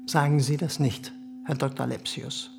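An actor playing a cynical, condescending middle-aged German privy councillor in a radio play, with smooth talk and hesitant fillers. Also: male voice, medium pitch, level tone, normal speed, background noise, lightly acted.

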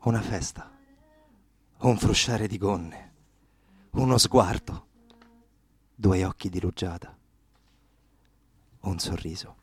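A man's voice: low-pitched, a little mellow, husky, full character voice. A man acting an impassioned, defiant young activist in a radio play, declaiming with indignation. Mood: hushed, wistful. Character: impassioned young Sicilian anti-mafia activist, defiant, mocking, poetic. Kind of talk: radio theatre acting and denunciation.

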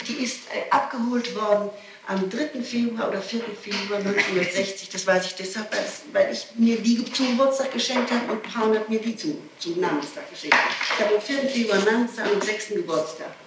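A woman's voice: medium pitch, brisk. A woman being questioned gives her statement emphatically and insistently, repeating herself as she goes. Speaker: person being questioned by police. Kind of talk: statement under interrogation. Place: echoey interrogation room. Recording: noisy, echoey archival tape, distant mic.

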